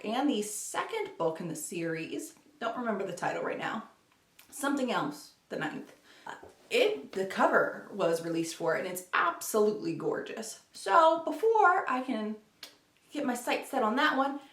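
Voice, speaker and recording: Woman, lively pitch swings, chatty book vlogger, roomy distant recording. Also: normal speed. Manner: chatty, informal, self-deprecating. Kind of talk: casual chat to camera. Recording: roomy echo, distant microphone.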